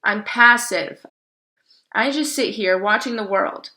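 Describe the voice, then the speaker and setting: A woman voicing a kind old oak tree in a storybook, an earnest optimist who speaks with gentle determination. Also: mid-range voice, takes it slowly.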